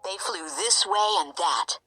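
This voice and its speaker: Bright, animated woman reading a storybook. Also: medium pitch, takes it slowly, creaky, faint music behind. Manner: animated, expressive. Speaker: storybook reader. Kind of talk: scripted children's story narration.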